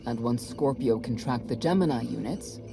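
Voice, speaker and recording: Guy playing an earnest military planner in a game, mid voice, practical, loud music behind. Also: mid-range voice, moderate pace, vocal fry, natural voice. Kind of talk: video game voice acting.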